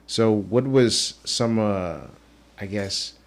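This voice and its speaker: A man's voice: on the low side, slow. A man hosting a podcast, asking loose, rambling questions with many fillers. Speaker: podcast host. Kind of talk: casual interview questions.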